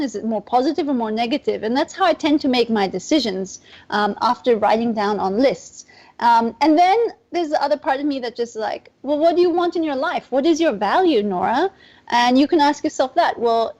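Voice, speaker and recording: A young woman with a distinctly high voice talks as a guest in a podcast interview. She explains herself in a chatty, loosely wandering way. The audio is somewhat muffled and lo-fi. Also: darkish tone. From Nepal and Southeast Asia.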